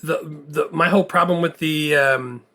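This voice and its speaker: A man with a gravelly voice co-hosting a movie podcast in a casual, halting conversational style. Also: a little high, bright, forceful tone.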